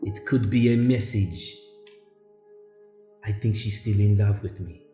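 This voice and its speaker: A man's voice: on the low side, fairly even intonation, measured pace, echoey room, music in the background, close to an everyday voice. A man improvising a melodramatic, pleading chef in his twenties in a radio play. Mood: confiding. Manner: pleading, theatrical. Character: melodramatic 27-year-old coquettish male chef, needy lover.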